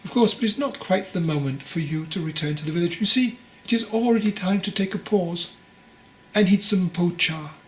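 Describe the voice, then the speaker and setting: A man voicing a venerable old monastery master in a storybook, calm, measured and gently probing. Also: high voice, echoey room, pitched above the performer's own voice, close to an everyday voice. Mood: kindly. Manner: measured, questioning, teasingly patient. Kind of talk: storybook character dialogue.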